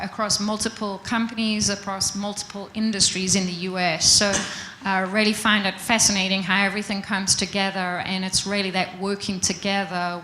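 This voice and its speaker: A woman's voice: mid-range voice, roomy sound, slight background noise, rough recording. A woman from South Africa with a South African accent, introducing herself steadily on a conference panel with a few fillers. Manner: steady, composed, lightly hesitant with fillers. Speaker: panelist, risk consultant. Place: conference hall.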